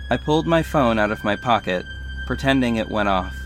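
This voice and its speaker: A man's voice: on the low side, animated, a little fast, a bit bright, faint music behind. A man playing a guarded, wry agency owner who narrates an audio drama in the first person and speaks its dialogue. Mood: tense, polite.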